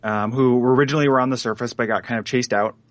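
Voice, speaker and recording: A man's voice: mid-range voice, fairly even intonation, fast, no echo. A man hosting a podcast while sick, with a froggy voice, chatting casually and stammering now and then. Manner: stammering, giggly. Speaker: podcast host. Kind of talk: casual chat.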